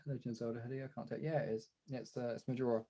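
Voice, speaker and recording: Young male streamer, husky and dark-toned, informal off-the-cuff chat with viewers. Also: mid-range voice, fast talker. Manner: informal, chatty, answering chat as he goes. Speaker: streamer and video creator. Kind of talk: casual livestream chat.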